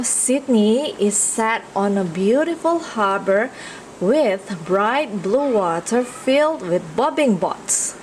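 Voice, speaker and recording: A woman's voice: a little high, a little hiss or hum, poor audio. A woman with an Indonesian accent reads a children's picture book aloud expressively, engaging young listeners with questions and exclamations. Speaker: children's storyteller.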